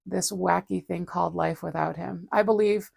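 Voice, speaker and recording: Gravelly-voiced woman chatting casually. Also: lowish voice, fairly even intonation, moderate pace, a little mellow, dry recording, clean studio sound. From Boston area, USA. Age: 40s. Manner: chatty and rambling, self-deprecating, laughs often. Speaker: livestream host and artist. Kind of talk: casual chat. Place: home studio.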